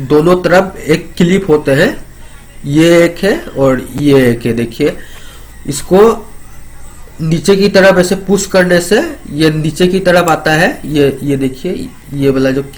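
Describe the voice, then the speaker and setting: A man giving an informal technical demonstration, explaining step by step and repeating phrases. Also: on the high side. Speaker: presenter of a product explainer.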